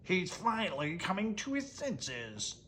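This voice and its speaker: A small, bossy storybook monster, acted out by a reader, blusters away at a raised pitch, keen on trouble. The voice is animated and theatrical, recorded with a little noise behind it. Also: unhurried. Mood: gleeful, excited.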